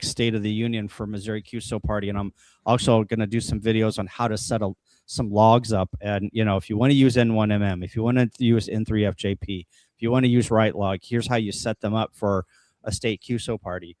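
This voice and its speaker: A man's voice: on the low side, steady tone, fairly quick, a little mellow, no echo. A man in a casual panel chat, talking bluntly and with strong opinions as a guest explainer. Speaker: guest explaining amateur radio contests.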